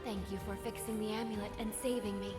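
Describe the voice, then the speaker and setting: A woman voicing a solemn magical spirit who narrates a fairy tale in a game, in a measured way. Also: mid-range voice, level tone, close to an everyday voice. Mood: urgent. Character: solemn magical female spirit storyteller of an amulet. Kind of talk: scripted storybook narration in a game. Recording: echoey reverberant effect, music behind.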